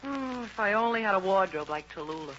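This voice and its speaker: A woman acting a sweet, wistful young heroine of an old radio comedy. Her mid-pitched voice is very expressive, earnest and a little unsure of itself. The sound is muffled with clear background noise. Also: brisk, close to an everyday voice. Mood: downhearted.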